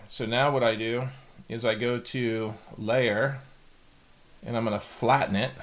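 A middle-aged American man hosting a casual step-by-step screen tutorial in a plain-spoken, instructional way. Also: mid-range voice. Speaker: tutorial host.

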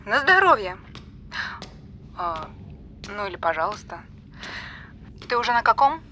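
Bright-voiced, callous flippant woman. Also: medium pitch, very animated, a little slow, slight background noise. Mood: breezy, flippant. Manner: mocking, breezy. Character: callous, flippant, fame-hungry woman on a phone call. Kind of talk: audio drama phone dialogue.

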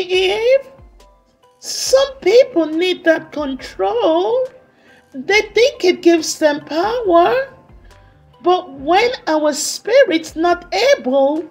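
A woman voicing a small, feeble, aggrieved spirit creature in a children's storybook, plaintive and squeaky. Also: darkish tone, full character voice, poor audio.

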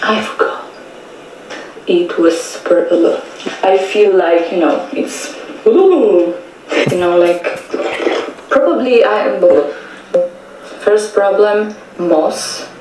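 Woman, very slow halting speech, searching for words, home video, strong room echo. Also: medium pitch, expressive, darkish tone. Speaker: viewer sending in a video. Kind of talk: casual home video message. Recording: very echoey room, faint noise.

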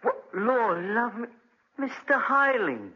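Lively, deferential old-radio countryside servant. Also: male voice, very high voice, takes it slowly, husky, heavily acted. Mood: startled, flustered. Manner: folksy, respectful, solicitous. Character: deferential, kindly male countryside retainer, easily startled. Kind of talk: 1940s radio drama acting. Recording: dull restored 1940s radio recording.

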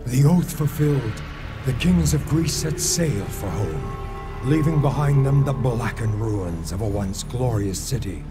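An actor narrating an ancient Greek legend as a solemn, portentous storyteller in a dramatic game cutscene. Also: male voice, mid-range voice, expressive, a little slow, natural voice. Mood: grave, portentous. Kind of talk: dramatic scripted narration of an epic. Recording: music under the voice.

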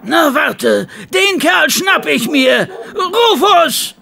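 An actor playing a stern, resolute man in charge of a stable, gravelly and commanding, in a German radio play. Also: extremely high pitch, sing-song, extremely slow, bright, forceful tone, exaggerated voice. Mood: alert, angry. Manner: commanding, urgent. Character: stern, resolute male horse trainer in charge. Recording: clearly noisy background.

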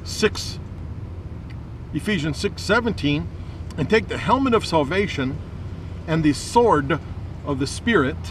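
A man's voice: a little high, expressive, measured pace, long pauses. An older man talking casually to camera as a Bible vlogger, giving a devotional. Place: outdoors in a restaurant parking lot. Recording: distant mic with outdoor car noise.

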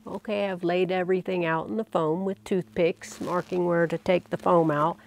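A woman in her sixties talking to camera in a casual, unhurried, plain-spoken explanatory vlog style with dry humor. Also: fairly low pitch, fairly even intonation, gravelly, slight background noise, rough recording. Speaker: vlogger, amateur astronomer.

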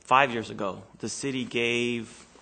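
A man's voice: medium pitch, fairly even intonation, a little slow, vocal fry, bright, forceful tone. A man on a city council arguing his point in a meeting, hesitant and plainspoken, often repeating words, in a council chamber.

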